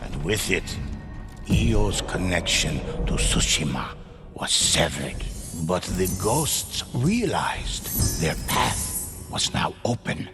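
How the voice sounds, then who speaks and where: A man narrates game cutscenes in a grave, measured way. His voice is very deep and gravelly, and he takes his time with each line. Loud music runs under him. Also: big pitch swings.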